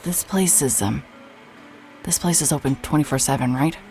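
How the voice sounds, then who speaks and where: An actress playing a weary, evasive woman in an audio drama, speaking haltingly and apologetically. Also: low voice, a little mellow, faint music behind. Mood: awkward, uneasy. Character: weary, evasive woman, a traveller staying at a motel. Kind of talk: naturalistic audio drama acting.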